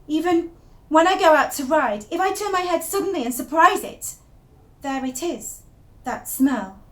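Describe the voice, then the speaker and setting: A woman performs an unravelling young wife in a dramatic monologue, her voice pitched high. She is intense and confiding, moving between hushed secrecy and emphatic, obsessive fascination, as if telling a diary what nobody else may know. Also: expressive, moderate pace. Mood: obsessed, uneasy. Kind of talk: dramatic first-person monologue reading.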